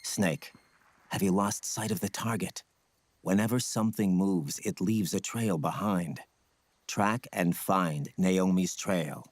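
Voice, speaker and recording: An actor playing a stoic ninja scout mentor in a video game, speaking in a grave, measured, husky voice. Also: male voice, no room sound. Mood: grave, instructive. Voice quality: low, husky. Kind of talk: dramatic video game acting.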